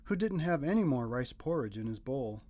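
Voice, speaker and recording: Female storybook narrator: low, slightly raspy, highly animated read-aloud delivery. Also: moderate pace.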